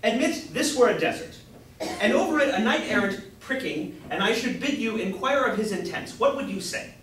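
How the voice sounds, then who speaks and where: A young man acting a grocer's apprentice who plays a grandiose, courtly knight-errant in a staged reading of a Jacobean comedy, declaiming in high-flown style. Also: animated, normal speed, fairly bright tone. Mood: commanding. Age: young adult. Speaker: student actor. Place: indoor room, far from the mic. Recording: distant, very echoey room with faint noise.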